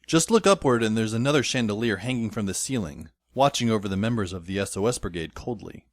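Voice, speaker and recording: A man's voice: fairly low pitch, animated, fast talker, dry recording, lightly acted. A man playing a wry, weary teenage schoolboy who narrates an audio drama in a dry, sardonic way. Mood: dry, observant. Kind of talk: first-person scripted audio drama narration. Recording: music under the voice.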